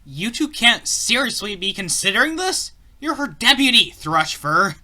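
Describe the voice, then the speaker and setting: A man's voice: sits high, very animated, moderate pace, bright, forceful tone, heavily acted. A man voicing a skeptical, loyal and weary warrior cat in a dramatic, grudging fan comic dub performance. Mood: indignant. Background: background music.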